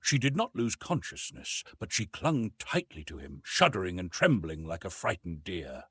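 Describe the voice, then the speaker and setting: A man narrating a literary story from a script in an expressive, dramatic storytelling manner. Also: sits low, moderate pace, breathy edge, dry recording. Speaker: audiobook narrator.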